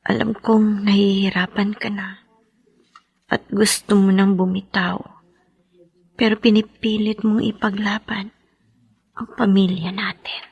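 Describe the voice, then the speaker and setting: An actress with a Filipino accent playing a loving, hurt wife and older sister in a melodrama, with a husky, breathy voice. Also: female voice, mid-range voice, little pitch movement, unhurried, pauses often, dull audio. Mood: wistful. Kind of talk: Tagalog melodrama acting.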